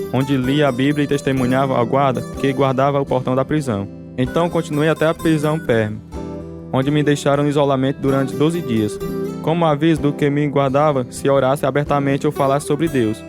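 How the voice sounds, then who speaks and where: An actor with a Brazilian accent playing a devout, steadfast prisoner who tells his story in measured, earnest radio drama style. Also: a little high, natural voice. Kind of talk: dramatized first-person narration, radio drama.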